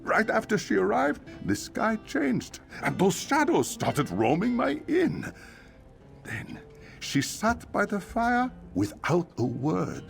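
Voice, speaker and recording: A man's voice: sits high, wildly swooping pitch, slow pace, creaky, soft background music, exaggerated voice. A man playing a nervous, chatty innkeeper in a fantasy game, with a flustered, hesitant way of talking. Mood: exasperated. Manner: stammering, nervous hesitations and sighs.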